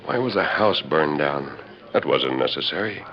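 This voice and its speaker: Noble masked western hero, extremely deep voice, measured, earnest, muffled vintage radio. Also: male voice, expressive. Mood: pondering, serious. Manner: measured, earnest, well-spoken. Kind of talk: 1940s radio western acting.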